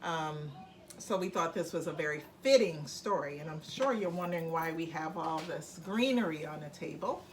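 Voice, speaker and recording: A woman reading a picture book aloud as a bedtime story for children, speaking gently. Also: deep voice, animated, normal speed, slight background noise. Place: home room with plants on the table.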